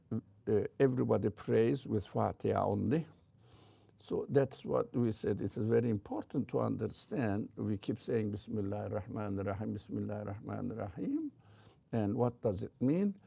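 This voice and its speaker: An older man with a heavy Turkish accent giving an informal religious lecture as a teacher, in a halting way with frequent pauses. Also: lowish voice, creaky, warm tone, dry recording. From Turkey.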